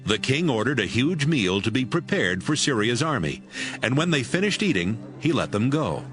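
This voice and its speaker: A man's voice: medium pitch, big pitch swings, normal speed, fairly bright tone. A man narrating a dramatized Bible audiobook from a script. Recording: music behind the voice.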